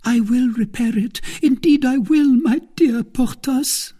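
A man's voice: very high-pitched, unhurried, mellow, slightly rough, pitched above the performer's own voice, exaggerated voice. A man voicing a lovesick, jealous, tight-fisted married woman in a storybook, pleading and indignant in turn. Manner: pleading, indignant, emotionally overwrought.

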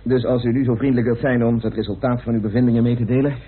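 A man acting in a Dutch radio play as a formal, polite gentleman who chairs an unofficial committee. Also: medium pitch, flat delivery, very fast, crowd noise, lightly acted. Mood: courteous. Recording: muffled, tinny old radio recording.

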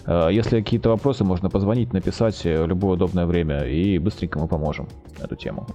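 A man explaining products as a guest in a podcast interview, speaking haltingly with drawn-out hesitations. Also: low voice, warm tone, soft background music. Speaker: guest, telecom equipment maker's project manager.

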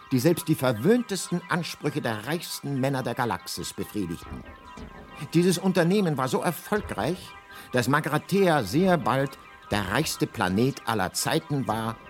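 A man narrating a science fiction radio play as a guidebook, with measured, mock-epic delivery and deliberate pauses. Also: mid-range voice. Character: grandly ironic guidebook narrator.

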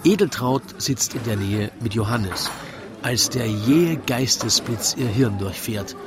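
A man's voice: mid-range voice, unhurried. A man narrates a radio play in comic verse. His mid-range voice is wry and theatrical, keeping to the rhyme, while a busy crowd murmurs behind him. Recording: lively crowd chatter behind.

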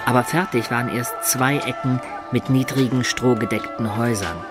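A man's voice: medium pitch, fairly even intonation, moderate pace, close to an everyday voice. An actor playing a medieval adventurer who recounts his own tale as narrator of a radio play. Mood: storytelling. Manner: descriptive storytelling. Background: music behind the voice.

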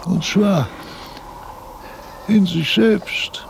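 An actor playing a frail aged philosopher, laboriously dictating abstract thoughts in broken phrases with long pauses, in a radio drama. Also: male voice, high voice, vocal fry, background noise, heavily acted. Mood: solemn, searching. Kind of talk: slow, halting radio-drama monologue.

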